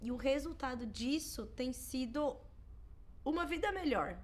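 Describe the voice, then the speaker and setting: A Brazilian woman in her thirties chats casually as a podcast co-host. Her voice sits a little high, and her storytelling is animated, with quoted lines acted out. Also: a little slow. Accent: Brazilian Portuguese. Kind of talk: casual chat.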